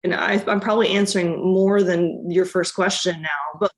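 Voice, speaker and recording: Woman, lowish pitch, reflective, halting restarts, casual interview talk. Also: fairly even intonation, crowd noise, rough recording. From United States. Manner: reflective, many restarts and fillers. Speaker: documentary director, guest.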